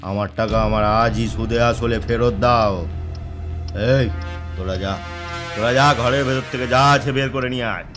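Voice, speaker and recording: A man's voice: medium pitch, fairly even intonation, a little slow, over music, close to an everyday voice. A man playing a cruel village moneylender in a horror cartoon, speaking in a taunting, domineering way. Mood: commanding. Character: cruel, mocking village moneylender demanding repayment. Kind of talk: animated horror cartoon dialogue.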